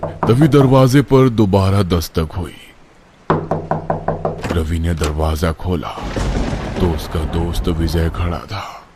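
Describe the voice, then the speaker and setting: A man's voice: low voice, takes it slowly, long pauses, over music. A man narrating a scripted horror story in a dramatic, suspenseful way.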